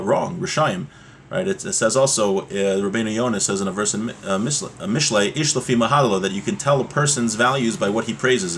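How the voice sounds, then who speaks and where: A man's voice: fairly low pitch, a bit bright, a little hiss or hum. A man giving a religious text lesson, reading aloud and explaining step by step with frequent rhetorical check-in questions. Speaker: religious teacher.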